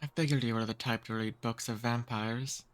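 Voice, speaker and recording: A man's voice: mid-range voice, steady tone, natural voice. Man playing a courtly, seductive male vampire. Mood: predatory, soothing. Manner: courtly, slow seductive teasing. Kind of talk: ASMR roleplay, intimate address to listener.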